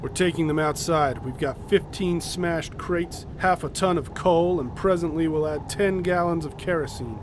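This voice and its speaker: An actor playing a commanding, methodical leader of a polar expedition in an audio drama, explaining things deliberately and with authority. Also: male voice, a little high, background noise, lightly acted. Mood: grimly businesslike.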